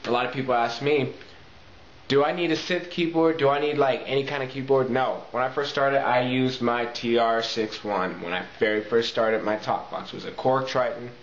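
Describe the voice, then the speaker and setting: A man presenting a casual how-to video, explaining step by step in an informal, halting way and often posing his own questions. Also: mid-range voice, steady tone. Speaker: talk box tutorial presenter. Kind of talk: casual instructional video talk. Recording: echoey room, muffled lo-fi audio, faint noise.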